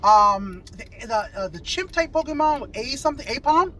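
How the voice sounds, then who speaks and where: A man with a very high voice hosts a casual movie review. He talks in a chatty way and often looks to his companion for agreement. He is recorded inside a moving car, with light road noise around him. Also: animated, normal speed, pushed and bright, rough recording. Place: inside a car while driving.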